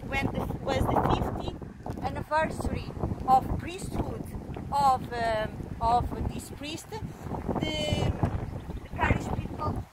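A woman's voice: high voice, slow. A woman, giving an outdoor tour and speaking hesitantly with many fillers. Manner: hesitant, full of fillers and restarts. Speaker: tour guide. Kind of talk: guided tour of local sites. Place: outdoors by a parish house in the sun. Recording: loud outdoor wind noise.

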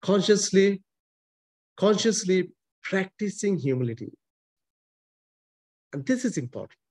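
A man's voice: sits high, sing-song, measured pace, a little mellow. A man in his forties with an Indian accent, a priest preaching a sermon over a video call from a small chapel, emphatic and repetitive. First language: not English. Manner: emphatic preaching, frequent repetition and restarts, gestures while talking. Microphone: webcam close up.

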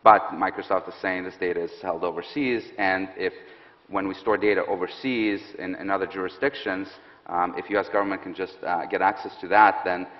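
A man in his thirties, a security expert on a stage panel, explaining in a quick, assured way. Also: low voice, steady tone. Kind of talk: panel interview answer. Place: stage set with audience, indoors. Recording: muffled hall with long reverb.

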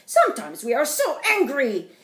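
A middle-aged woman reading a picture book aloud to young children in a theatrical, deliberate way, as a storytime host. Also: high voice, wildly swooping pitch, a bit bright. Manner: theatrical, slow and deliberate, addressing small children. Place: indoor wood-panelled living room. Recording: roomy, echoing home recording.

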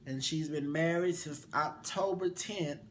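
A young man co-hosting a casual chat show, sassy and animated, his voice swinging up high, recorded in a small bedroom. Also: measured pace, slight background noise. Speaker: co-host.

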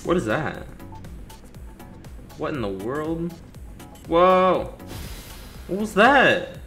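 Animated, impatient man gaming. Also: fairly high pitch, very slow. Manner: impatient, sarcastic griping. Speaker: gaming video host. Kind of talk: casual let's play commentary. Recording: faint game music behind.

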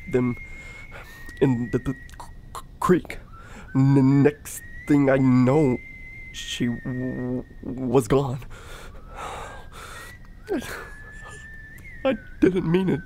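Stammering, childlike frightened convict. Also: male voice, mid-range voice, warm tone, a little hiss or hum. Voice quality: heavy stammer. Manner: halting, simple childlike speech. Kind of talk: audio drama acting.

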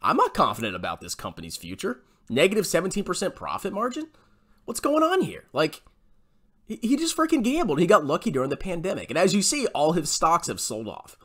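A man's voice: sits high, very animated, brisk, a bit bright. A man giving blunt, exasperated, sarcastic reaction commentary in a small room at home. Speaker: YouTube host reacting to a video.

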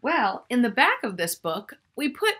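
A woman's voice: a little high, sing-song, measured pace, fairly bright tone. A middle-aged woman reading a picture book aloud to young children, expressively, drawing out key words and chatting to the listener. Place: small furnished room at home, indoors.

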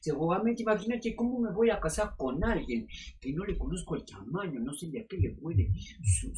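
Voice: mid-range voice, a little hiss or hum, natural voice. Someone playing a sultry Mexican sex-doctor woman. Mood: teasing. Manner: sultry, teasing, drops French endearments. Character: flirty brazen woman sex-advice doctor. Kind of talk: comedy sketch advice segment.

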